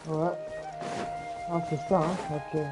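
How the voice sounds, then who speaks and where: A woman with a Quebec French accent and a lowish voice, chatting casually on a stream. She throws out slangy, offhand remarks over very loud game music. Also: level tone, unhurried. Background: video game audio and music.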